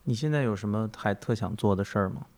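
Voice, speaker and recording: A man's voice: on the low side, quick pace, a little mellow. A man hosting an interview as a casual, reflective chat. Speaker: podcast host, interviewer.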